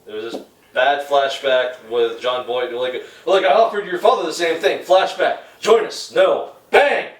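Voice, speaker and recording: A man's voice: a little high, measured pace. A man co-hosting a casual group debate, blunt and contrary with the others. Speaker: podcast host debating films. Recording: roomy room, distant mic.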